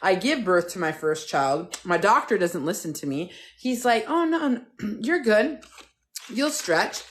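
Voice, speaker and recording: A woman's voice: mid-range voice, lively intonation, a little slow. A woman in her fifties hosting a TikTok live, talking bluntly and profanely in a casual rant with many restarts. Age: 50s.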